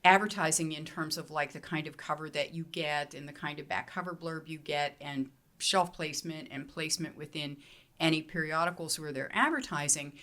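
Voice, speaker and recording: A middle-aged woman romance author from Pennsylvania, answering panel questions in a measured, explanatory way with some restarts, speaking into a handheld mic in a meeting room. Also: deep voice, a bit bright. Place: hotel meeting room, seated at table.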